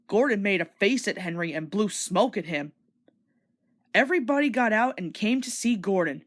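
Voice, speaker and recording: A woman reading a children's story aloud as an expressive, scripted read-along narrator. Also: medium pitch, normal speed.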